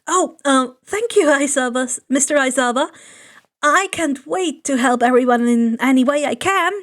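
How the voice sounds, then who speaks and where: A woman plays a polite teenage boy in an audio story. The voice is high and earnest, eager to please but nervous and hesitant. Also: normal speed. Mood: flustered, happy. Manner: bright-eyed, hesitant, earnest.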